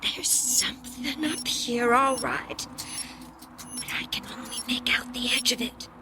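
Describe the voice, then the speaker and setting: A woman's voice: on the high side, steady tone, a little slow, noisy background, lightly acted. An actress playing a stubborn, bold old woman in a radio drama, impatient and folksy in her way of talking. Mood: curious, tense. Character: headstrong, bold, curious old woman with a twisted ankle.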